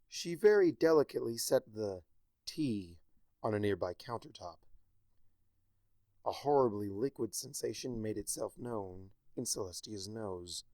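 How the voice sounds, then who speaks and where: A man reading a story aloud with a wry, expressive delivery. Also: on the low side, no room sound. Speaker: fanfiction narrator.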